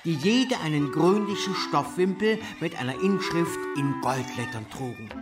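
Distant male narrator over loud music. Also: fairly high pitch, lively intonation, normal speed. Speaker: second narrator. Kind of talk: declaimed scripted narration in a radio play.

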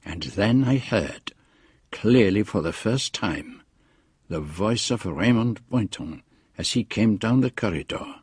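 An actor playing a grave, uneasy man narrating a mystery in the first person for a radio drama, measured and foreboding. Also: lowish voice, expressive, darkish tone, slightly rough, dry recording, clean studio sound, exaggerated voice.

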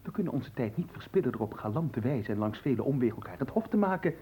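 A man's voice: mid-range voice, lively intonation, fast talker, dark tone, husky. A man playing a cheeky, gluttonous manservant in a radio play, glib and playful, flirting and talking between mouthfuls. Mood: flirtatious, brazen. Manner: glib, playful, talks while eating and humming. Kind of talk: theatrical radio play acting.

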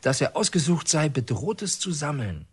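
A prison psychologist in a radio drama, officious and easily agitated. His fairly high voice moves up and down a lot as he presses his points in stilted, formal language. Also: sing-song, normal speed, slightly rough, full character voice. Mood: pedantic, reciting. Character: pedantic, nervous prison psychologist, formal and insistent. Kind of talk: German radio drama acting.

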